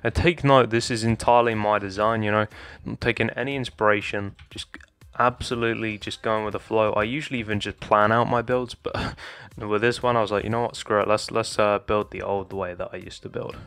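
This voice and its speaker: A young man with a slightly hoarse voice casually talking viewers through a building tutorial, addressing them as guys. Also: fairly low pitch, steady tone, a little fast, few pauses, a bit bright. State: slightly hoarse, losing his voice. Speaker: Minecraft building tutorial creator. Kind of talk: casual gameplay tutorial commentary. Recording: faint background music.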